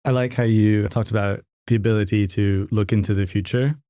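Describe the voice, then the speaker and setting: A man's voice: lowish voice, fairly even intonation, fairly quick, warm tone, no echo, studio quality. A man hosting an interview podcast, asking questions in a friendly, conversational way. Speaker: podcast host.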